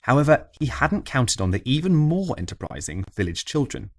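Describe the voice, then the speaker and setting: A man's voice: medium pitch, very animated, normal speed. A man reading scripted local history as an audiobook narrator, with a wry storytelling manner.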